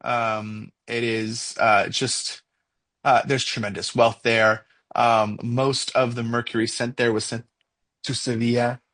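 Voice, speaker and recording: A young man with a Mexican accent, co-hosting a casual podcast discussion, talking in a halting way with many fillers and restarts as he thinks aloud. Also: medium pitch, little pitch movement, a bit bright. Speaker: podcast co-host.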